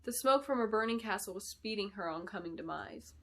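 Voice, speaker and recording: A woman reads fantasy fiction with a bright, forceful tone. Her storytelling is dramatic and expressive, with deliberate phrasing throughout. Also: medium pitch. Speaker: author reading her book. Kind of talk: dramatic scripted fantasy narration.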